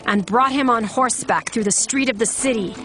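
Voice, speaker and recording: A woman's voice: fairly high pitch, fairly quick. Woman narrating scripture over music.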